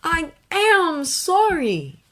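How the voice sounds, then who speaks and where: Woman doing an earnest sorry young guy, high strained pleading voice, gets loud. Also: huge pitch swings, very slow, full character voice. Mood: remorseful.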